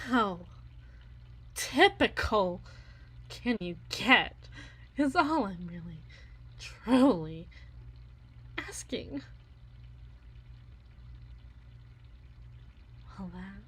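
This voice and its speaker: A woman's voice: fairly high pitch, breathy edge, full character voice. A woman playing a bitter, unhinged, anguished wife in a dramatic ASMR roleplay monologue, theatrical and moving between whispers and intense outbursts. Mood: despairing, taunting.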